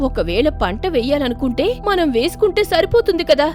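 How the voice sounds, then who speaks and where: A woman playing an anxious, practical village wife and mother in a cartoon story, expressive and questioning. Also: high-pitched, brisk, faint music behind.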